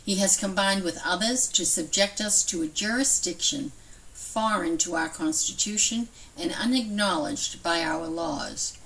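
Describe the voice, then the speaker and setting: A woman's voice: medium pitch, measured pace, fairly bright tone. Distant-sounding woman reading aloud. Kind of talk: reading a historical document aloud. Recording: somewhat distant from microphone.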